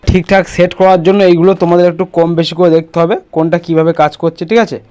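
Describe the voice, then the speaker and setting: A man's voice: high voice, little pitch movement, racing, darkish tone, smooth. A man presenting a casual step-by-step screen tutorial, instructing and often checking that the listener follows.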